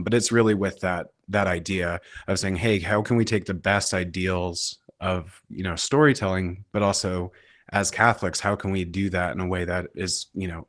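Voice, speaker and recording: A man with a deep voice talks casually as a panelist. He rambles informally, working out his thoughts as he goes, and the sound is very dry. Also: fairly bright tone, no echo. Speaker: panelist, independent filmmaker and producer.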